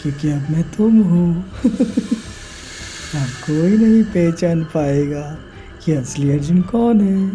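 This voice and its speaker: Man playing a menacing jungle demon, slow and taunting. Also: fairly high pitch, warm tone, slight background noise, poor audio. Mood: gloating. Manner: threatening, taunting, drawn-out delivery. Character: menacing male shape-shifting jungle demon, gloating and taunting. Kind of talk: Hindi horror audio story acting.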